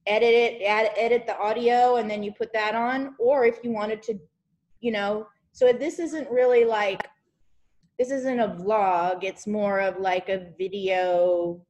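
A woman's voice: medium pitch, steady tone, unhurried, darkish tone, muffled recording. A woman hosting a podcast over a video call, talking casually in a rambling, encouraging way and often searching for words. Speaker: podcast host.

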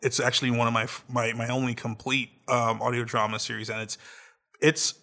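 A man's voice: mid-range voice, flat delivery, brisk, gravelly, bright, forceful tone. A man co-hosting a podcast in a casual, playful chat, joking and plugging his own creative work. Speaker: podcast co-host.

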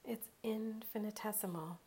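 A woman leading a guided meditation in a soothing, unhurried way, leaving long pauses between short phrases. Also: mid-range voice, fairly even intonation, dark tone, breathy edge.

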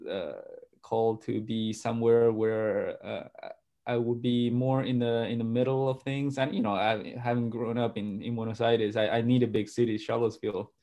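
Halting male guest from Argentina. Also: medium pitch, warm tone. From grew up in Argentina. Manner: halting, repeats words and restarts often. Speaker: guest, pastor and sociologist. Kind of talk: conversational interview answers.

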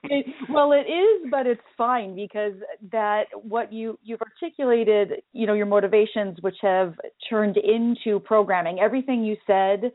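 A woman's voice: mid-range voice, moderate pace, hardly any pauses, no echo. A woman hosts a call-in radio interview, enthusiastic and a little rambling as she reflects on her guest's answers. Her voice sounds dry and close, with narrow, phone-like sound. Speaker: radio host.

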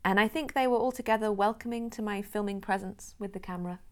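A woman film director who grew up in Europe, calmly explaining how she made her film in an interview. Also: medium pitch, fast. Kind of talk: interview answers.

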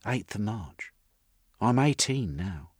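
A man's voice: fairly low pitch, very animated, slow pace, darkish tone, breathy edge, no echo, studio quality, pitched above the performer's own voice, exaggerated voice. A man voicing a shy, uneducated young London woman of eighteen in an audiobook, speaking in simple dialect phrases. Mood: shy, curious. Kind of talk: audiobook character voice.

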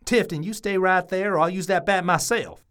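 A man's voice: high voice, normal speed, a bit bright, clean tone. A man playing an earnest, lovestruck and protective suitor in an audio drama. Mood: stern.

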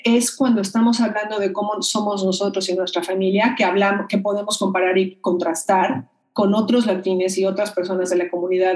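A woman's voice: mid-range voice, a little mellow. Woman explaining thoughtfully in a roomy space. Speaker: guest expert. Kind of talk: explanatory conversation. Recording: roomy echo.

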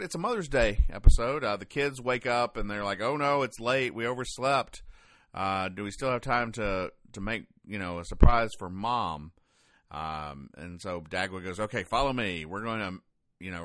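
A man hosting a podcast, giving casual, wry comedic commentary in a deadpan way. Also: lowish voice, dry recording. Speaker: podcast host. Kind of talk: casual comedic commentary, explaining comic strips.

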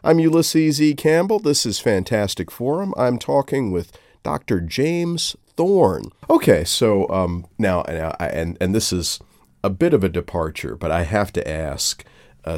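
A man's voice: low voice, expressive, measured pace, darkish tone. A man hosting a radio interview in a casual, conversational way, with an enthusiastic, appreciative manner. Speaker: radio show host and interviewer.

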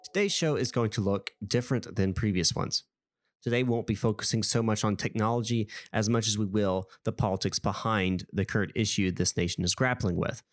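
A man with a cold hosts a podcast. His voice sits on the low side and he speaks in a calm, deliberate way. The recording is dry and close, with almost no room sound. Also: dry recording. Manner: measured, deliberate delivery. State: has a cold, sniffly. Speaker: podcast host. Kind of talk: scripted podcast intro then hosting a discussion.

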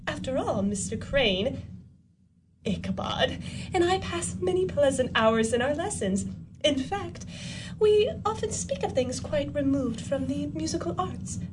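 Coquettish young village belle, played by a woman: high, lively, unhurried, teasing radio play delivery. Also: noisy background. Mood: coy, teasing. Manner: teasing, giggly, arch. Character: coquettish, spirited young village lady with suitors.

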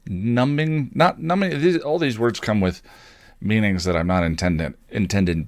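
A low-pitched man delivers a reflective podcast monologue in a close, dry recording. He speaks candidly and haltingly, pausing to think as he shares personal experience. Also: no room sound. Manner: thoughtful, halting with pauses, candid. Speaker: podcast host.

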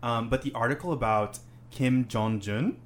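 A man's voice: mid-range voice, normal speed, slight background noise. A young man hosting a casual chat, rambling with many "um"s and "you know"s. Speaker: host, English teacher.

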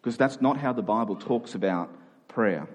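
A man's voice: lowish voice, normal speed. A man preaching a conversational sermon, earnest, repeating key phrases for emphasis.

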